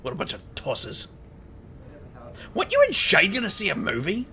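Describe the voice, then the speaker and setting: A teasing friend in an audio drama, with a bright edge and noticeable fry. The delivery is droll and mock-dramatic, moving animatedly across a wide pitch range. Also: female voice, wildly swooping pitch, moderate pace, heavily acted.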